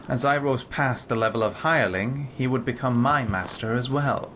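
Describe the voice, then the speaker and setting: Game follower: male actor as a scholarly reptilian lawman-mage, formal and measured, mid-pitched, some background noise and ambience. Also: close to an everyday voice. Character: composed, scholarly adult male lizard-folk lawman and spellcaster follower. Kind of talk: scripted game character dialogue.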